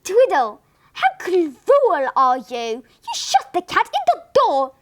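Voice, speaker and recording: A woman's voice: very high-pitched, big pitch swings, moderate pace, heavy background noise, pitched above the performer's own voice. A woman doing a fussy doting old wife. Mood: pleading. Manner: pleading, reproachful. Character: fussy, doting elderly wife who knits.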